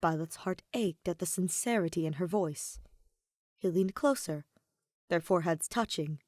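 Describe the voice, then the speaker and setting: A woman reading fan fiction as scripted dramatic narration, soft, measured and expressive. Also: lowish voice, no echo, studio quality. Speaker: fan fiction audiobook reader.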